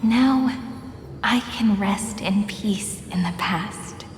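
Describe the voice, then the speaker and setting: An actress playing a gentle, wistful young woman in a video game, speaking softly and reflectively, at times nearly whispering. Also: medium pitch, slow, a little hiss or hum, natural voice. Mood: tender, accepting. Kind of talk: dramatic video game voice acting. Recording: long echoing hall reverb, music behind.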